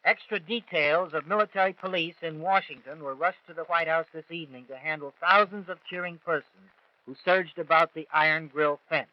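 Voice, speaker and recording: Fairly high American newsman, vintage muffled radio. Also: a little fast, dry recording. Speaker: radio news reporter. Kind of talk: 1940s radio news reporting. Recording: dull vintage 1940s radio broadcast.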